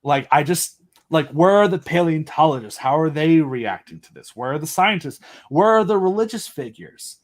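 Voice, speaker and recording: A man's voice: a little high, lively intonation, moderate pace. A man talking as a guest in a casual chat, enthusiastic and rambling, with lots of fillers and restarts. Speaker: podcast guest and audio drama creator.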